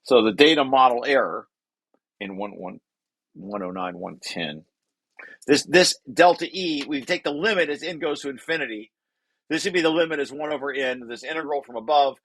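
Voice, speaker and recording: A man reading aloud from a technical paper and explaining it informally, halting and correcting himself as he repeats words. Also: mid-range voice, a bit bright, dry recording. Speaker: presenter and paper author.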